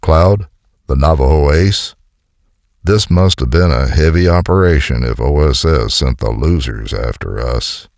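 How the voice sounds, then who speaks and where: An actor playing a gruff, sardonic American colonel, a hardened wisecracking commando, in an audio comic. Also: male voice, rumbling low, unhurried, a little mellow, no echo, studio quality. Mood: brusque, suspicious. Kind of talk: dramatic audio comic dialogue.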